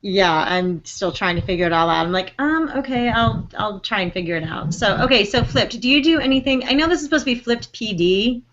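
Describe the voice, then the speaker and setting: A woman's voice: fairly high pitch, expressive, a little fast, few pauses, fairly bright tone. Chatty woman on a muffled video call. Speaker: fifth-grade teacher. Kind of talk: casual chat.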